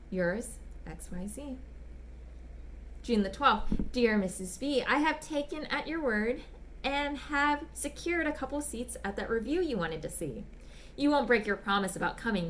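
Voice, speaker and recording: A woman voicing a smitten, bashful gentleman writing polite, apologetic, ardent love letters in a dramatic reading. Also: mid-range voice, slight background noise, close to an everyday voice. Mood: eager, grateful. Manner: polite, apologetic, ardent, old-fashioned phrasing. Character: smitten, bashful, gushing gentleman letter writer back from China.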